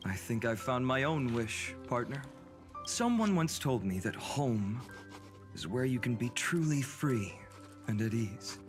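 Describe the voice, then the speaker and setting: Young game hero, middle pitch, earnest, reassuring, wistful companion, clear background music. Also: male voice, sing-song, measured pace. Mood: hopeful. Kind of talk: video game story dialogue.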